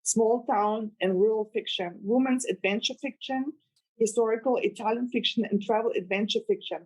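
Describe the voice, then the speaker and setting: A woman's voice: on the high side, a little mellow, poor audio. Guest novelist: woman, Austrian accent, German native, earnest and rambling, talking casually. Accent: German-speaking, Austrian. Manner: earnest, rambling, laughs with delight. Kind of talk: casual interview chat.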